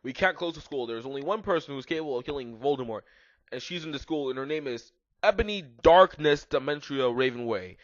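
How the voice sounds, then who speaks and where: A man's voice: medium pitch, lively intonation, fast, no echo, dull audio, natural voice. A man voicing a stern, angry old wizard headmaster in an emphatic, declamatory way. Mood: angry resolute.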